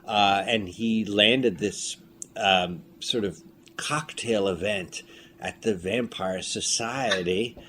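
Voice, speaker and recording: Low-voiced man telling rambling stories. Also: takes it slowly, pushed and bright. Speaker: filmmaker and audio drama creator being interviewed. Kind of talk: casual interview anecdote. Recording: noisy room ambience.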